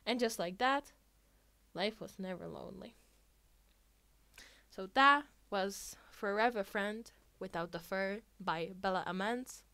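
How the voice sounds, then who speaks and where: A woman reading fiction aloud in an expressive amateur audiobook style. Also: mid-range voice, slow, pauses often. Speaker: podfic reader.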